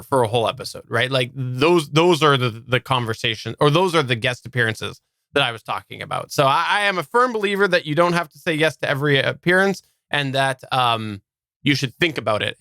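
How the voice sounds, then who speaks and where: A man with a bright, crisp-edged voice talks as a podcast host in a casual discussion. He lays out advice earnestly, posing questions to make his point. The sound is dry, with very little room. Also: mid-range voice, no room sound.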